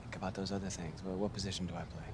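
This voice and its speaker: A man's voice: low-pitched, level tone, racing, noisy background, natural voice. A man acting a blunt, streetwise American basketball mentor in a game cutscene, firing rhetorical questions with emphasis. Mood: blunt, challenging. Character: seasoned basketball mentor, blunt, preaching hard realism to youngster.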